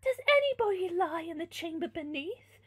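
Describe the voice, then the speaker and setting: A woman's voice: very high voice, animated, moderate pace, pitched above the performer's own voice. A woman voicing a composed, bold young princess in a gothic novel, formal and imperious. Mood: lofty, dismissive. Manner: imperious, formal, archaic diction. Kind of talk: gothic novel read-aloud.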